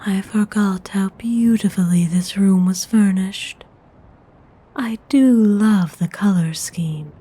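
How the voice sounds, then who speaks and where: A woman voicing a centuries-old, courteous and possessive female vampire noble in an intimate, formal ASMR roleplay, whispering in a husky, breathy voice. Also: medium pitch, dark tone. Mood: doting. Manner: slow, measured, formal, intimate.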